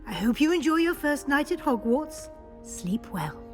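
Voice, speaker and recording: Brisk, kindly British deputy headmistress. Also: female voice, sits high, big pitch swings, over music. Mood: welcoming. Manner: courteous, formal.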